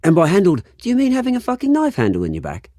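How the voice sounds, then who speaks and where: An actor playing a cocky, bitter childhood friend in a video game, with a British accent, slangy and theatrical. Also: male voice, high voice, wildly swooping pitch, rapid-fire, warm tone, no room sound, clean studio sound, exaggerated voice. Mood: taunting. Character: cocky, bitter young crime-bound childhood friend, rough charm.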